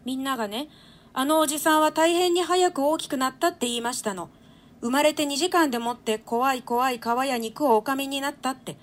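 High-pitched female-acted young duke, precocious and pert, childlike storytelling in a classical stage play. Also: a little fast, lightly acted. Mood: pert, chatty. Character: precocious, cheeky little royal boy, a young duke. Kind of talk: Japanese stage play read aloud.

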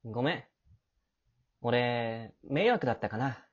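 A woman playing a sweet, clingy, sensitive teenage boy in an amateur audio drama, with a breathy, tender, coaxing delivery. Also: extremely low pitch, expressive, slow pace, heavily acted. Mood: hurt, hesitant. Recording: dull, lo-fi home recording.

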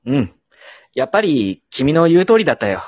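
A man playing a flustered, sceptical father as the straight man in an amateur comedy radio drama, quick to protest. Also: on the high side, very animated, dull audio. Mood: subdued, polite.

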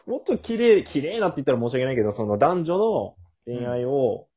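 A man bantering casually as a podcast co-host, animated and quick with a retort. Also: medium pitch, dull audio. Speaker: podcast co-host in a male comedy duo.

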